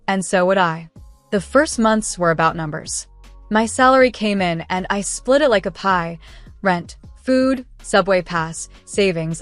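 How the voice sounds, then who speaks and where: A woman reading a scripted first-person story in a measured, earnest, literary storytelling manner. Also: mid-range voice, no room sound. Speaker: narrator.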